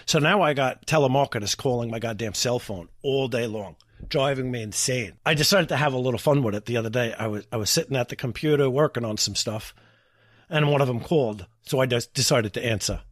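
A man's voice: mid-range voice, brisk, a bit bright, no echo, studio quality. A man hosting a podcast, chatting casually in a rambling storytelling way with plenty of swearing. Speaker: podcast host. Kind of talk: casual chat.

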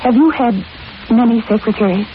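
An actress plays a frightened, determined young woman from vintage radio horror. Her voice is fairly high and urgent, pleading with melodramatic intensity. It comes through a narrow old radio recording with faint noise. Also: brisk, close to an everyday voice. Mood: nervous. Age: young adult. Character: anxious, insistent young woman seeking her missing sister. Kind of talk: 1940s radio horror acting.

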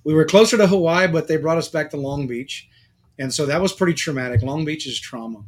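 A middle-aged man talking casually about his service years in a relaxed, rambling way. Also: fairly high pitch, a little fast. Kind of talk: casual conversation.

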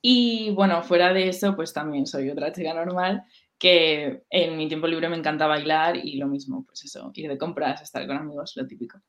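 Guest, young female student, lowish voice, relaxed chatty delivery. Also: moderate pace. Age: young adult. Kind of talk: casual interview answers.

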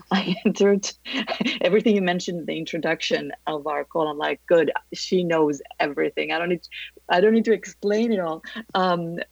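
Greek woman, gravelly husky voice, laughs a lot, trips over her words, kinda muffled. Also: fairly low pitch, normal speed, no room sound. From Greece. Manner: animated, laughs often, many restarts. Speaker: guest, grief educator. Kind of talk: interview answers.

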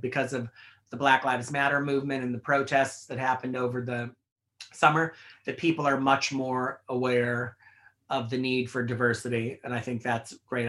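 Middle-aged fashion editor, bright tense tone, rambling chatty answers with lots of fillers. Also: male voice, medium pitch. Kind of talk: interview answers.

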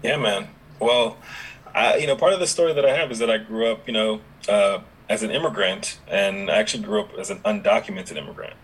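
A young adult man with no regional accent, chatting relaxed with many fillers as a podcast guest. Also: lowish voice, fairly quick, breathy edge, low-quality recording. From Dominica, raised in Houston, Texas. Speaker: podcast guest, app founder. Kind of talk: casual interview conversation.

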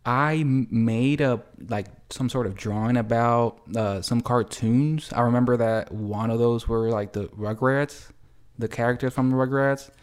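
A man's voice: mid-range voice, steady tone, measured pace, clear, resonant tone. A young man from El Salvador, a guest artist answering questions in an easygoing, plain-spoken way at a kitchen table. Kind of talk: casual interview answers. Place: home kitchen.

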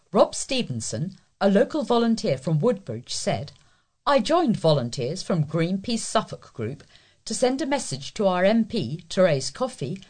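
A woman's voice: lowish voice, a little mellow, husky. A British woman reading scripted local news as a volunteer newsreader.

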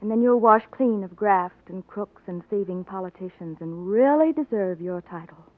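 An actress playing a genteel, earnest wife and young mother in a 1940s radio drama, speaking politely and gently. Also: medium pitch, normal speed, no room sound, close to an everyday voice. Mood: tender, earnest. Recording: tinny narrow old radio recording.

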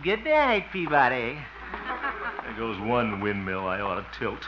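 Flustered radio host, wildly swooping voice. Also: male voice, mid-range voice, sing-song, a little slow. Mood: dejected muttering. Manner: theatrical muttering and exclaiming, with chuckles. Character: flustered, self-pitying, well-meaning small-town radio host. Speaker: lead actor in radio comedy. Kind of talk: 1950s American radio sitcom acting. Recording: dull, noisy 1950s radio recording.

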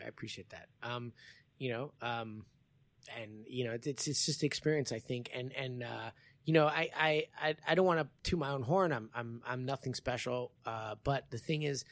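A man's voice: mid-range voice, no echo. A man in his forties hosting a podcast, talking casually and rambling with many restarts about his jiu-jitsu training. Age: 40s. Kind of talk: casual conversation.

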